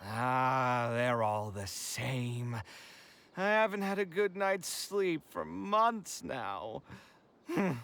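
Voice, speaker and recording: A man's voice: medium pitch, extremely slow, creaky, heavily acted. A man voicing a dramatic, easily exasperated young architect in a video game, with theatrical delivery. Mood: aggrieved, exasperated. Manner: theatrical, expressive. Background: game music.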